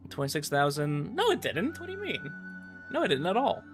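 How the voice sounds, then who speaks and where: A man with a fairly high voice hosts a casual gaming livestream. He talks conversationally and a bit haltingly, repeating words as he answers chat, with game music playing behind him. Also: huge pitch swings. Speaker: livestream host, gamer.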